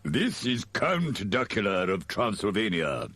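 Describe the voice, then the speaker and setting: A man plays a gloomy manservant with a deep, husky voice. He is formal and frosty, dryly deferential with a note of scorn, as in a storybook reading. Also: big pitch swings, takes it slowly, dry recording, exaggerated voice.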